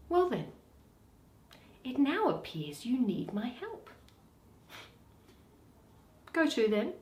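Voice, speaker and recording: A shrewd, wary moneylender with a grievance, acted by a woman in a Shakespeare play. The voice sits fairly high and swings widely in pitch, each stress placed with theatrical care. The room adds a clear echo. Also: heavily acted. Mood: bitter, aggrieved. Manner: emphatic, deliberate, theatrical delivery with swooping stresses. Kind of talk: dramatic Shakespeare reading.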